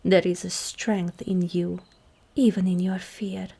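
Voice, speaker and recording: Husky, slow demon queen, acted by a woman. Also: on the low side, little pitch movement, exaggerated voice. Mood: solemn, persuasive. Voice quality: husky, breathy, creaky. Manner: measured, commanding, deliberate, often breaking into whispery softness. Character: regal, composed, seductive ancient demon queen. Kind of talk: ASMR roleplay, scripted monologue to the listener.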